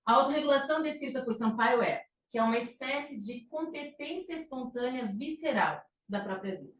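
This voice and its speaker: A woman's voice: sits high, animated, a little fast. A middle-aged Brazilian woman giving an informal psychology talk, with frequent fillers and restarts. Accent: Brazilian Portuguese. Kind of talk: conversational lecture presentation. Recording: echoey room, distant mic, faint noise.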